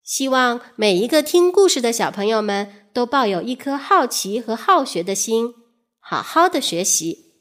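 Lively, higher-voiced woman narrating for children. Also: normal speed. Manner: addresses young listeners directly with rhetorical questions and soft sentence particles. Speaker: children's storyteller. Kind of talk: scripted educational narration for children.

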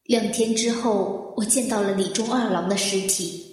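A woman playing an injured fire survivor in an audio drama, with a dramatic, deliberate delivery. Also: medium pitch, fairly even intonation, darkish tone, close to an everyday voice. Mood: cool, brooding. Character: injured woman fire survivor, anguished then coolly guarded, narrating her ordeal. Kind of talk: Chinese audio drama acting with introspective narration. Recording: echoey hall-like reverb, music underneath.

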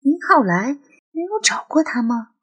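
A woman voicing a curious nineteen-year-old girl in an audio drama, excitable and full of questions. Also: high-pitched, normal speed, slightly rough, muffled recording, voice pushed up, full character voice. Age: young adult. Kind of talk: Chinese audio drama dialogue.

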